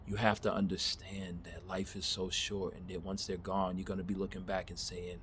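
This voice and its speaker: A man's voice: deep voice, flat delivery, moderate pace, fairly bright tone, a little hiss or hum. A man in his thirties giving a candid, rambling motivational monologue in his backyard, laughing at himself and swearing casually. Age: 30s. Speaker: vlogger.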